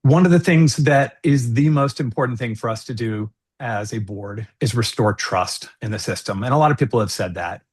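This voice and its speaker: A middle-aged man speaks earnestly on a video call, his voice at an ordinary pitch for a man. He lays out his points in measured sentences with the odd "um" and "uh.". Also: slightly rough. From United States. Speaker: school board candidate. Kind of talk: forum candidate statement.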